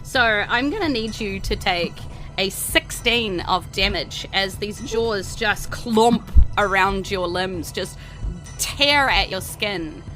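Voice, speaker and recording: A woman's voice: medium pitch, takes it slowly, bright, forceful tone, soft background music, rough recording. A woman with a New Zealand accent running a tabletop fantasy game, narrating informally and playfully with vivid storytelling and casual banter.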